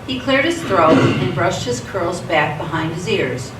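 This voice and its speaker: A woman's voice: on the low side, expressive, a little slow. A woman reading scripted story narration for a radio theatre production. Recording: echoey stage, distant mic, clear noise.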